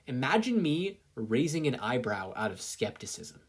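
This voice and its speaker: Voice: medium pitch, big pitch swings, moderate pace, breathy edge. A narrator with an American accent voicing a sarcastic, grudging bodiless conscience in a story reading. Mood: sardonic. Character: sardonic, disgruntled inner conscience, sneering and bodiless.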